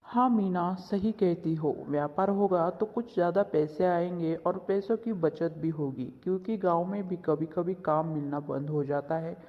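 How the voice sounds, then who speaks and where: A man's voice: sits high, normal speed, few pauses, natural voice. Kind, hardworking village father for a cartoon moral tale male actor, muffled lo-fi sound, big reverb tail. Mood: agreeable. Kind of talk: moral cartoon story dialogue. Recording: dull, lo-fi sound with long reverb.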